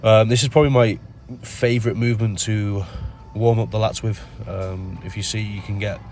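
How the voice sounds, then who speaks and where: A man with a nasal voice from a cold, explaining his gym workout in a relaxed voice-over full of ums. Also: fairly low pitch, moderate pace. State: has a cold. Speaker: bodybuilder narrating his workout. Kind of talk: casual voice-over commentary.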